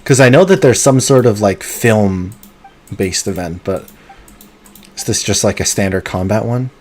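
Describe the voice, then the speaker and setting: A man streaming a video game live, chatting casually and muttering to himself between bursts of excitement. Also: fairly low pitch, a little slow. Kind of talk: live gameplay commentary.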